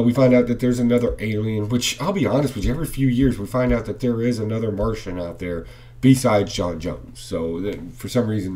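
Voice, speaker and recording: A man in his thirties talks straight into a webcam from his living room. His voice sits a little low, and he rambles with fillers while giving enthusiastic, blunt opinions. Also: warm tone. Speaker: comic book reviewer. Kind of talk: casual comic review vlog. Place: living room at home, indoors. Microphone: webcam facing him at close range.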